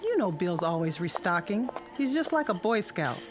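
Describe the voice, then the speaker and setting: A woman's voice: on the low side, very animated, normal speed, over music. An actress playing a chatty, easygoing middle-aged suburban wife and mother in a modern radio drama, with a breezy, colloquial manner. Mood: busy, affectionate.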